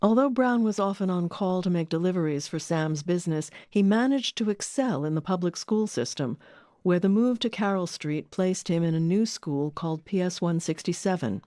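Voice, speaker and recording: A woman's voice: lowish voice, normal speed, dark tone, dry recording. A woman reading scripted documentary narration as the narrator, close on a studio microphone.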